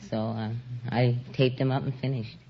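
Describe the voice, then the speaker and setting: A young man in his late teens answers politely and earnestly, in a plain matter-of-fact way, with a medium-pitched voice. He is heard on a dull vintage radio recording with faint noise. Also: little pitch movement, moderate pace. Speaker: contestant, Eagle Scout. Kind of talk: answering a quiz host on old radio.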